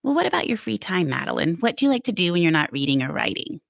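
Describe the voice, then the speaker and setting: Very low-voiced woman hosting a podcast. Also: big pitch swings, fast, creaky, no room sound, clean studio sound. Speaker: podcast host. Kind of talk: conversational book podcast interviewing.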